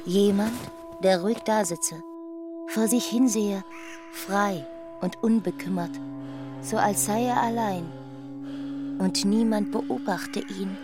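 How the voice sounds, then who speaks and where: A woman narrating a literary radio drama in terse, deliberate phrases. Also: mid-range voice. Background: prominent music.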